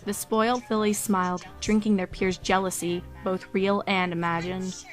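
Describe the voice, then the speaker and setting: Music plays clearly behind a woman narrator with a medium-pitched voice. She reads a scripted story in a measured, descriptive storytelling manner. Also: flat delivery.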